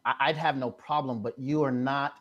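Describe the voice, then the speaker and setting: Older man, debate guest, halting and stammering, mid-pitched. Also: fairly even intonation, lo-fi sound. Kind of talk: live debate.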